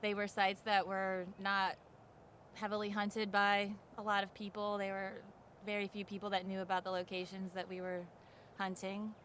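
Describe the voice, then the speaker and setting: Woman with an even, unvarying delivery, some noise. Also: medium pitch, moderate pace. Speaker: fossil hunter. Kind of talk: casual documentary interview storytelling.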